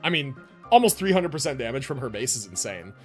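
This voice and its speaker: A man's voice: medium pitch, very animated, quick pace, soft background music. A man casually commentating a live game stream, chatting with viewers in rambling, informal gamer slang.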